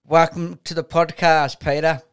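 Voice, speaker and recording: Smooth-voiced podcast host. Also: male voice, on the high side, level tone, measured pace. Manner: friendly, brief questions, says "mate". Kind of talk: casual interview.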